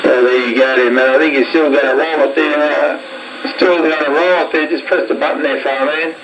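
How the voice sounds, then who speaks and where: A man's voice: a little high, fairly even intonation, brisk, noisy background. An Australian man in casual, slangy CB radio banter, heard as a radio transmission. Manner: slangy, playful radio patter. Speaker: amateur CB radio operator. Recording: CB radio with music behind.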